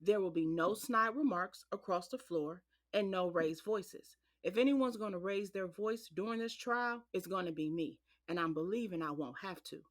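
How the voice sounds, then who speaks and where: An actress playing a stern female judge who lays down courtroom rules in a firm, measured voice. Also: mid-range voice, close to an everyday voice. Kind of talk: audio drama acting.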